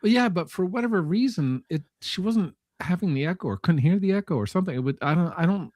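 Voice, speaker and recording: A man chatting casually as a panelist, talking in a halting, rambling way and often correcting himself. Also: high voice, animated, a little mellow, no room sound, clean studio sound. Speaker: panelist on a live audio show. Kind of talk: casual unscripted chat.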